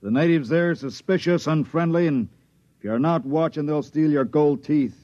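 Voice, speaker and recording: A man's voice: a little high, normal speed, a little mellow, no room sound, lightly acted. A man playing a blustery, folksy cargo ship captain in a 1950s science fiction radio drama. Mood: jovial. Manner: blustering, colloquial. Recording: dull vintage 1950s radio recording.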